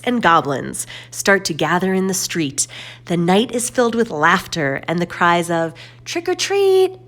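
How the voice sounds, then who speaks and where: A woman reads aloud to children as a storyteller. Her voice sits a little low and moves through lively, varied intonation. She takes her time, phrasing each line deliberately. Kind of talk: expressive children's read-aloud narration.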